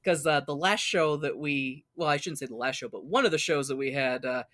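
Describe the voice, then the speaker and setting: A man's voice: fairly high pitch, a little fast, pushed and bright, no echo. A man hosting a podcast, chatting casually in a rambling, jokey way. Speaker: podcast host. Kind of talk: casual chat.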